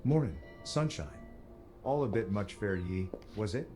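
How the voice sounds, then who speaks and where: A man plays a folksy male sailor in a game, fairly low in pitch. His talk is colloquial and teasing, with music behind it. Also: expressive, measured pace, a little mellow. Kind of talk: scripted video game dialogue.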